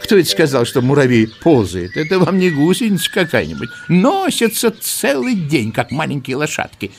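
A man narrating a children's audio play in an expressive storytelling style. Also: fairly high pitch, moderate pace, gravelly. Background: music.

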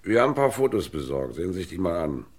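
A police inspector in a radio crime play. His voice is low. He questions in short, businesslike phrases, brisk and probing. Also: big pitch swings, darkish tone, breathy edge, full character voice. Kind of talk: German radio crime drama acting.

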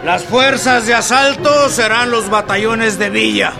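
Slow, high-voiced commanding army general. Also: male voice, gravelly. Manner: barking, authoritative, deliberate military delivery. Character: commanding male army general issuing battle orders. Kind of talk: historical radio drama acting. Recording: music and crowd noise behind. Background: music and crowd of soldiers.

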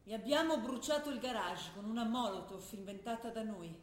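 A woman acting a young revolutionary who writes earnest letters to her father, speaking intensely and sometimes dropping to a hush, in a roomy stage recording. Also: on the high side, brisk, close to an everyday voice. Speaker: stage actor. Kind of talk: theatre drama broadcast on radio.

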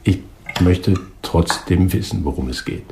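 Sceptical moneyed husband, radio play. Very low male voice, probing, noisy watery background. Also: unhurried, vocal fry. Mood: sceptical, dry. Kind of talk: radio drama dialogue.

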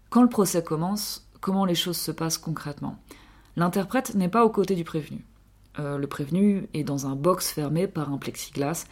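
Woman, low voice, measured delivery, scripted radio column. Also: darkish tone.

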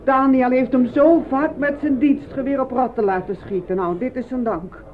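An actress playing a fearful, distraught soldier's wife with an anxious, lamenting delivery in a radio drama. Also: female voice, high-pitched, fairly even intonation, normal speed, close to an everyday voice. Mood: anxious, despairing. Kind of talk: Dutch radio drama acting. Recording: tinny, narrow-band old radio play.

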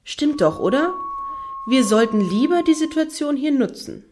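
A woman's voice: sits high, expressive, moderate pace, noisy background. An actress playing a bossy, protective female fan in a German radio play, opinionated and teasing.

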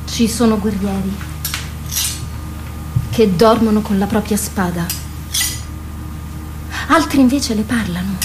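A woman's voice: medium pitch, takes it slowly, pauses often, noisy background, close to an everyday voice. An actress playing an impassioned, poetic woman in a theatrical radio drama, speaking with emphatic delivery. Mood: solemn, reflective. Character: impassioned woman confronting and pleading with a warrior.

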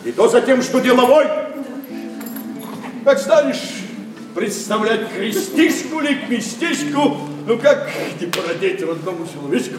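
An actor playing a fussy older gentleman in a classical verse comedy, effusive and ingratiating in a declamatory stage manner. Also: extremely high pitch, very animated, measured pace, crowd noise, full character voice. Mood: effusive, flattering. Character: fussy, fawning older gentleman, a matchmaking father. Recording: echoey, distant stage sound with noise.

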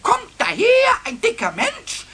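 A man voicing a cheeky, boastful little kobold with a shrill squawky voice in a 1970s children's radio play. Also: a little slow, vocal fry, background noise, exaggerated voice. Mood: excited. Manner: stammering excited repetition, singsong rhyming.

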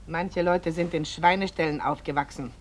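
An actress playing a sour, prim older wife in a radio play, nagging and scornful. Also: female voice, low-pitched, steady tone, normal speed. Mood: sniffy.

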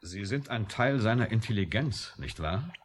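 An actor playing an embittered, sardonic computer scientist with a strong moral streak in a German radio drama, speaking emphatically. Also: male voice, on the low side, expressive, normal speed. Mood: stern, urgent. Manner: emphatic, volatile, pointed.

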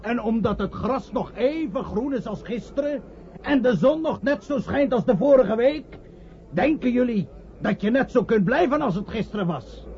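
Casting: fire-and-brimstone street preacher, very high-pitched, swooping, declamatory radio-play delivery. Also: male voice, animated, darkish tone. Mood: scornful. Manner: solemn, accusing, drawn-out oratory. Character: fanatical male street preacher warning sinners of doom. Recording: muffled, noisy old radio drama recording.

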